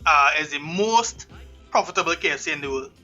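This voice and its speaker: A man's voice: a little high, animated, brisk, sharp tone, highs cut off, soft background music. A young man from Trinidad, co-hosting a casual podcast chat with swearing and easy laughter. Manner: laid-back, swearing, laughs often. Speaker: podcast co-host.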